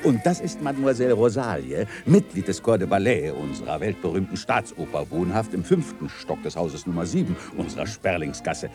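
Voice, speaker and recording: A man acting a genial, bookish bachelor in a literary radio play, speaking in a courtly, formal and expressive way. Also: low voice, fairly quick, few pauses, over music. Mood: courtly, cheerful. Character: genial bachelor neighbour, bookish storyteller of old Germany.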